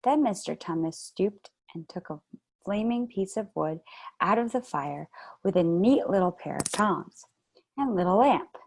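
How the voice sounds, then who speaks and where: A woman reading a children's novel aloud in a calm, even storytelling manner, heard over a video call. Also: medium pitch, unhurried, gravelly. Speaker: children's read-aloud host.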